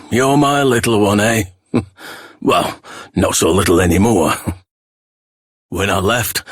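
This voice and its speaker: A weary warrior father from a video game, tender and halting. His lowish voice is husky and he takes his words slowly and gently. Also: no room sound, studio quality, heavily acted. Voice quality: husky, breathy. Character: tired, tender long-absent warrior father.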